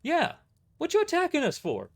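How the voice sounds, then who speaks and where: A man's voice: very high-pitched, huge pitch swings, moderate pace, pitched above the performer's own voice, full character voice. A man voicing an indignant, dim male mercenary grunt who protests whinily in an audio drama.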